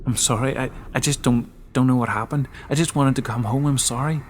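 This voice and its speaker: A man's voice: medium pitch, steady tone, brisk, slight background noise, natural voice. A man playing a frightened young child in a thriller story, pleading and emotional. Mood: tearful, apologetic.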